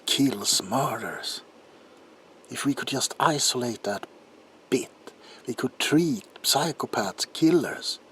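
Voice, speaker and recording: A man playing a troubled, desperate doctor in an ASMR roleplay monologue, speaking haltingly in a hushed voice that often drops toward a whisper. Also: lowish voice, heavily acted. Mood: earnest, intense. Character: troubled doctor protesting innocence, desperate and haunted. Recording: audible background noise.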